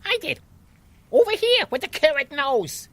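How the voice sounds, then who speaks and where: A woman's voice: high-pitched, very animated, a little slow, a bit bright, no echo, heavily acted. A woman playing a plucky, chatty water molecule living inside a snowman, matter-of-fact and pushy, in a children's audio drama. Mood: inquisitive, coy.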